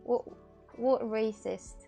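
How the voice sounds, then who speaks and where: A woman's voice: on the high side, steady tone, slow, gravelly, warm tone, soft background music. A woman from Russia with a Russian accent, streaming in casual chat, arguing and breaking into disbelieving laughs and cries.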